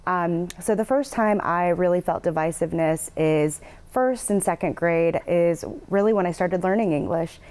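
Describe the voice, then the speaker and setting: A woman panelist whose first language was Spanish, speaking earnestly about her childhood with frequent pauses. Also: fairly low pitch, clear, resonant tone. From United States. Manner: soft-spoken, earnest, frequent ums. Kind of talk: personal storytelling on a panel.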